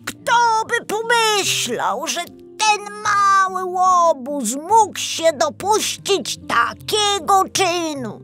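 A woman voicing a gruff, scornful, triumphant gamekeeper in a children's storybook. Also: very high voice, sing-song, very slow, hardly any pauses, bright, forceful tone, faint music behind, voice pushed up, heavily acted. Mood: accusing, indignant. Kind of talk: children's storybook character acting.

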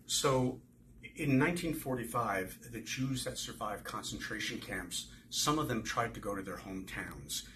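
An author in his sixties reads from his book in a fairly low male voice. He is recorded in a small office, a little way from the camera, with faint ambient noise around him. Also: pushed and bright. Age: 60s. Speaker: author reading from his novel. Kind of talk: dramatic book reading. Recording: noisy room ambience.

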